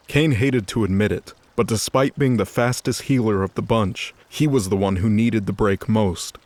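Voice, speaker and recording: A man's voice: lowish voice, no room sound. A man narrating a scripted story in a conversational, wry way.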